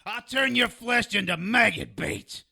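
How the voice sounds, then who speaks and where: Casting: foul-mouthed little gnome creature, gravelly, slow and swooping, spoiling for a fight. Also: male voice, high-pitched, expressive, bright, forceful tone, heavily acted. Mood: furious. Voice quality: raspy, gravelly. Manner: crude, combative street-tough talk. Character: small foul-mouthed grumpy male gnome-like freak creature. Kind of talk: audio drama acting.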